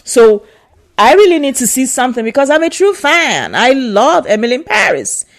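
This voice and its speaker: A woman's voice: sits high, sing-song, normal speed, vocal fry. A woman with a Nigerian accent in a casual opinion vlog, talking emphatically and repeating herself for stress. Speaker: vlogger.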